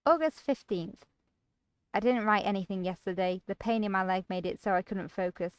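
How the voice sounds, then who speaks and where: A woman's voice: mid-range voice, normal speed, smooth, dry recording. A woman streamer reading visual novel narration expressively, with casual asides to viewers. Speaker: game streamer.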